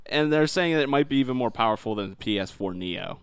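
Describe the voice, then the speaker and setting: A man with a smooth, clear voice talks casually as a podcast co-host. His pitch moves around in a lively way, and the sound is close and dry, as in an easy gaming chat. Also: fast, dry recording.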